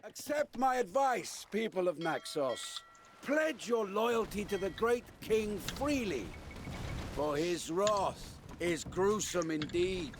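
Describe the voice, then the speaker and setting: An actor playing a proud Persian commander in a historical game, grandiose and declamatory, menacing yet courteous. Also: male voice, high-pitched, animated, takes it slowly, noisy background. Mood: threatening courtesy. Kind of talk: dramatic historical game voice acting.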